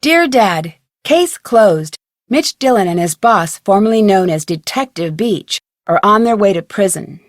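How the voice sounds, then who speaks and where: A woman's voice: medium pitch, lively intonation, a little slow, no room sound, clean studio sound, close to an everyday voice. A woman playing an inquisitive, polite teenage girl detective in an adventure game, with earnest scripted delivery. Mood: composed, narrating.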